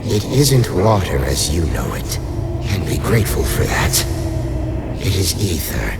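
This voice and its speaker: An ancient lich speaks in an extremely low voice, slow and imperious. His formal, sardonic lines swing widely in pitch over loud music, as in a dark fantasy radio play. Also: big pitch swings, exaggerated voice. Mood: condescending, instructive. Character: ancient, commanding male undead lich, condescending and calm.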